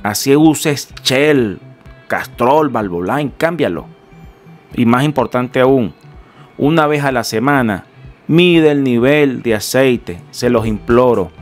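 A man's voice: mid-range voice, animated, takes it slowly, faint music behind. A middle-aged Venezuelan man, a mechanic, giving an earnest, emphatic car-care lesson in a Venezuelan Spanish accent and urging viewers directly. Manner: earnest, emphatic, repeatedly addressing viewers as gentlemen. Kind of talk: instructional workshop talk. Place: small home room, indoors. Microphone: headset.

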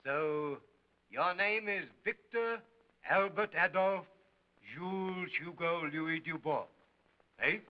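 A stern, middle-aged police prefect with a slightly raised pitch. He questions curtly and impatiently. The sound is that of a muffled 1930s film. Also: slow, long pauses. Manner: curt, questioning.

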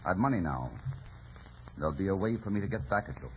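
An actor playing a passionate, brooding and vengeful lover with sardonic menace, in an intense theatrical old-time radio drama style. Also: male voice, low-pitched, animated, quick pace. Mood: bitter, vengeful. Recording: muffled old radio broadcast with hiss.

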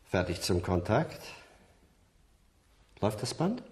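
An actor in a German radio play playing a research scientist who questions formally and politely. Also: male voice, lowish voice, sing-song, takes it slowly, a little mellow, slight background noise. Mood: businesslike. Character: male research scientist, probing, polite and businesslike.

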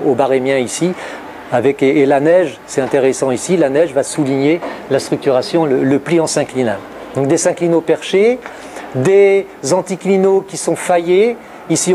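A man's voice: a little high, warm tone, slight background noise. A middle-aged man giving a geology lecture with slides, listing place names and correcting himself as he goes. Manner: lists place names steadily, frequent fillers and self-corrections. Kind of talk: university-style lecture with slides. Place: large lecture hall with blackboards, indoors. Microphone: room mic from a distant camera.

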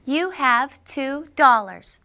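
A woman's voice: sits high, animated, takes it slowly, pushed and bright. A young woman from Colombia, a native Spanish speaker, saying simple scripted lines as a language student. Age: young adult. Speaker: student in an English lesson show. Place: small classroom set, indoors.

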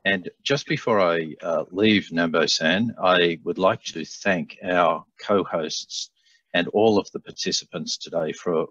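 Deep husky man on a headset call. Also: a bit bright, dry recording. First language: English. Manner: gracious, thanking at length. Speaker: panel moderator. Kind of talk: closing remarks on a video call. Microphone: headset on video call. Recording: dull, dry video-call audio.

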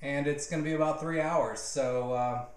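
A man in his thirties hosting a jokey home cooking video in his kitchen, rambling with deadpan asides, recorded on a nearby camera mic. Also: a little high, normal speed, roomy sound, background noise. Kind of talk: jokey mock cooking show. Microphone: camera mic, handheld or nearby.

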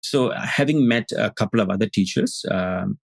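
Middle aged guy from singapore, husky voice, dry sound, halting guest on a call. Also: lowish voice, no echo. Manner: thoughtful, halting with many restarts. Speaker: guest, Advaita Vedanta teacher. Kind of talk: casual interview talk. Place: indoor room on video call.

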